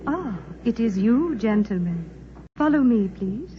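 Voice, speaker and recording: A woman's voice: fairly high pitch, big pitch swings, unhurried. A woman playing a formal, deferential young maidservant to a queen, in 1940s radio style. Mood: polite, deferential. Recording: muffled, hissy 1940s radio recording.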